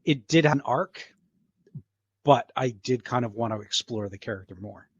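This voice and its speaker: A man's voice: medium pitch, moderate pace, husky, no echo. A middle-aged man who works as a voice actor, chatting casually as a guest on a podcast. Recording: slightly dull, lo-fi sound.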